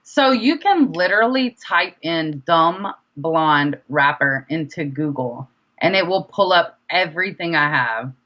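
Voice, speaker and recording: A woman's voice: sits low, expressive, a little slow, pushed and bright, highs cut off. A woman chatting casually as an interview guest, with an informal, slangy, enthusiastic manner. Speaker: interview guest, independent rapper.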